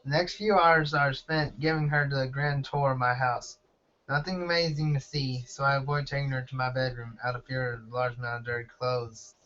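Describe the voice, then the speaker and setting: A man's voice: mid-range voice, steady tone, normal speed, a bit bright, a little hiss or hum. A man reading a first-person story aloud as an amateur, stumbling over words now and then. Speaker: amateur fan fiction reader. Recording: dull lo-fi home recording.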